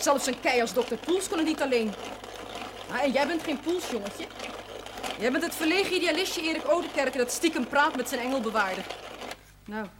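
A clearly noisy 1970s television recording carries a blunt Dutch woman with a fairly high voice. She is pointed and admonishing yet caring, played in a naturalistic drama manner. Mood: firm, softening. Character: blunt, sharp-tongued, caring woman scolding a chaplain.

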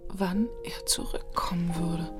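A woman acting in a German radio drama as a weary, grieving young mother, subdued and halting, with a breathy voice. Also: lowish voice, fairly even intonation, natural voice. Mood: sad, worried. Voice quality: breathy, whispery. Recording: music behind the voice.

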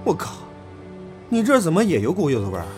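Playful young man, very animated. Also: fairly high pitch, quick pace, music in the background. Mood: playful, cheeky. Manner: playful, bantering. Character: teasing, flippant young male idol group member. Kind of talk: Chinese audio drama dialogue.

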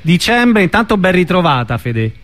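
A man hosting a radio show, chatting and interviewing in an informal, halting way. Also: high-pitched, very animated, a bit bright. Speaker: radio host. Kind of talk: casual radio chat and interview.

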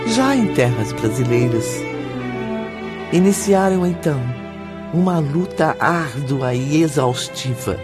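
Slow-paced male radio drama narrator. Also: fairly high pitch, expressive, loud music behind the voice.

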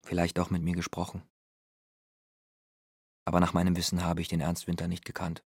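Male guarded young witness, low husky voice, utterly monotone, dry studio sound. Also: a little fast, dry recording. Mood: guarded, subdued. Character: guarded young man giving testimony. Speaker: actor in a radio play.

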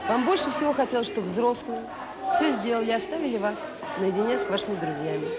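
An actress playing a kind but firm middle-aged director of a children's home in a radio drama, speaking briskly and composedly. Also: female voice, fairly high pitch, expressive, over a noisy crowd, natural voice. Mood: gently persuasive. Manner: brisk, composed, reasoning with rhetorical questions. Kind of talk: Soviet radio drama acting.

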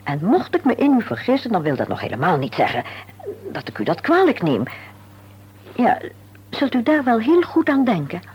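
An actress playing a composed, tactful middle-aged wife in a radio play, speaking formally and deliberately with polite insistence. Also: female voice, fairly high pitch, huge pitch swings, husky, heavily acted. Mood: earnest, tactful. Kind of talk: Dutch radio play acting. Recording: dull, hissy old radio recording with hum.

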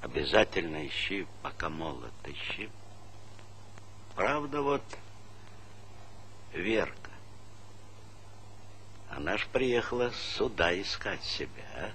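An actor playing a middle-aged, fatherly village leader in a stage play, reflective and weary, talking in measured, plain phrases. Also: sits low, very animated, bright, forceful tone, exaggerated voice. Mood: subdued, resigned. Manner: measured, pausing, plain-spoken. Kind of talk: theatrical stage-play dialogue. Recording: noisy vintage stage play recording.

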